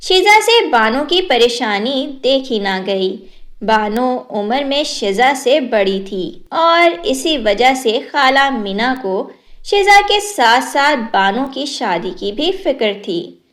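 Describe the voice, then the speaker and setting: A woman narrating a moral storybook tale in a measured, even storytelling manner. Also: sits high.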